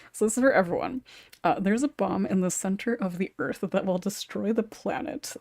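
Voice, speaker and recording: A woman's voice: medium pitch, dry recording, clean studio sound. A woman hosting a casual podcast chat, giggly and a bit hesitant, rambling off into asides. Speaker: podcast host and script writer.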